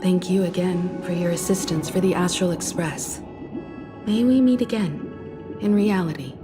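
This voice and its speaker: A woman's voice: on the low side, a little slow, over music, close to an everyday voice. An actress playing a composed, mature woman who leads steadfastly in a video game, poised, formal and earnest. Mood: concerned, composed. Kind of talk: dramatic video game voice acting.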